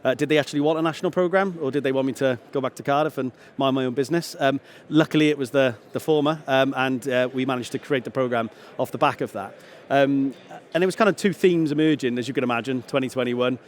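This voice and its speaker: A man giving a casual, chatty presentation, brisk and self-deprecating. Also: mid-range voice. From Wales. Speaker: telecare programme manager.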